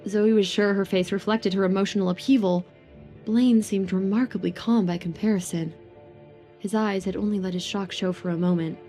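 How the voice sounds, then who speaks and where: A woman's voice: medium pitch, fairly even intonation, normal speed, dark tone, faint music behind. A woman narrating a scripted romance audio drama.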